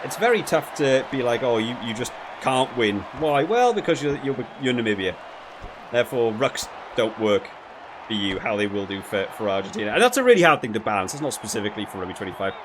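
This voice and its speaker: Male gameplay reviewer, mid-pitched, casual and rambling with repeated words, noisy background. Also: big pitch swings, fairly quick, crowd noise. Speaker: video game reviewer and player.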